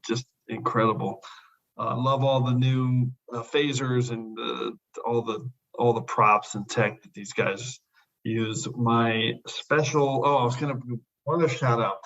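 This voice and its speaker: A man's voice: medium pitch, fairly bright tone. Male podcast host, mid-range voice, eager and repetitive, slightly lo-fi sound. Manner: enthusiastic, repeats praise words. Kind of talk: casual podcast discussion.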